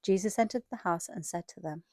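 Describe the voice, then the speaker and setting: Female scripture narrator, lowish and very mellow, scripted storytelling, dry recording. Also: fairly quick, no room sound. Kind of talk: scripted dramatized Bible narration.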